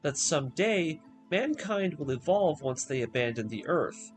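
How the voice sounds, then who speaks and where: A man with a slightly high voice tells a story as scripted recap narration for a fan video essay. His delivery is dramatic and very animated, swinging widely in pitch. Music plays clearly behind him. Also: a little slow. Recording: voice over background music.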